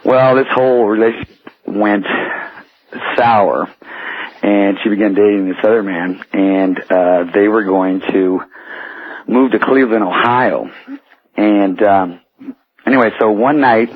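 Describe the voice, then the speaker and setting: An American man calling a radio show, telling a personal story in a halting way over the telephone. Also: fairly low pitch. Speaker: phone-in caller. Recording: tinny, muddy telephone line.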